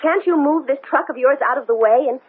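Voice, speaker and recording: A woman's voice: high voice, brisk, natural voice. An actress playing a frightened, headstrong woman scientist in a 1970s radio drama, in an intense, questioning manner. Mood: indignant. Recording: tinny, noisy old radio broadcast.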